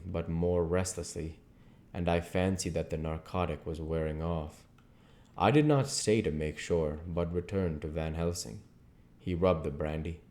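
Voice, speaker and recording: A man with a low-pitched voice reads a classic novel aloud. His delivery is measured and earnest, like an amateur audiobook narrator working carefully through formal prose. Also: darkish tone. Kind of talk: literary narration read aloud.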